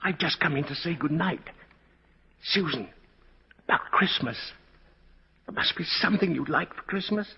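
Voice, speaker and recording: A man's voice: fairly high pitch, expressive, moderate pace, gravelly, pauses often, breathy edge, exaggerated voice. An actor playing a kindly, whimsical old man who claims to be Santa Claus in a 1940s radio drama, chuckling, hesitant and fond of a questioning "eh?". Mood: soft, tender. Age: older. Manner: chuckling, hesitant, tacks questioning "eh?" onto sentences. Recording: dull, muffled 1940s radio recording.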